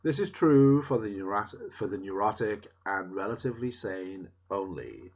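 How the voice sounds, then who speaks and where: Male book reader, slightly husky, very animated intonation, deliberate emphatic phrasing, muffled low-fidelity sound. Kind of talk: reading a book aloud. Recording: dull, lo-fi sound.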